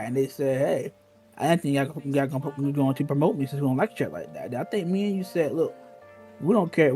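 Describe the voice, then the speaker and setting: A man's voice: fairly high pitch, a little mellow. A man reminiscing in casual, slangy talk, emphatic and often repeating himself.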